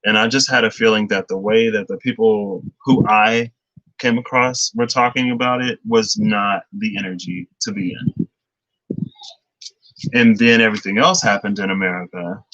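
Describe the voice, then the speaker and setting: A man's voice: fairly low pitch, steady tone, normal speed, bright, forceful tone, no echo, dull audio. A young man chatting loosely through a tarot reading, rambling and laughing often. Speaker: tarot reader. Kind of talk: casual livestream tarot reading.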